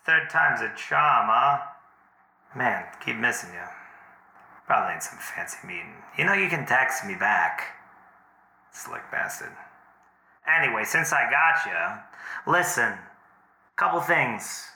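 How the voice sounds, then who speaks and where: An actor playing a cocky, teasing, foul-mouthed but affectionate boyfriend in naturalistic audio drama, bantering breezily. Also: male voice, mid-range voice, animated, unhurried, creaky, long pauses, bright, forceful tone, echoey room, heavily acted, poor audio. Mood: breezy, needling.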